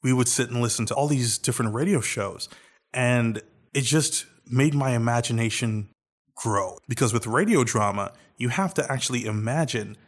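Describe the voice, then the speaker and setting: A young man co-hosting a casual podcast, animated and jokey, with quick bursts of speech. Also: mid-range voice. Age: young adult. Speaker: podcast co-host.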